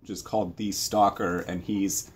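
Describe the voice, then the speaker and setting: Low, husky-voiced man chatting. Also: flat delivery, unhurried. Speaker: book channel co-host. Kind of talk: casual book chat.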